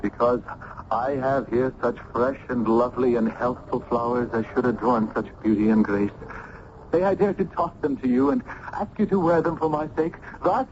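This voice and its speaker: A man's voice: mid-range voice, steady tone, normal speed, darkish tone, natural voice. Man as an earnest young student, muffled radio. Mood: ardent. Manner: formal, ardent, florid diction. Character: earnest, smitten young Italian male student. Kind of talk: old-time radio drama acting. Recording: dull, noisy old radio recording.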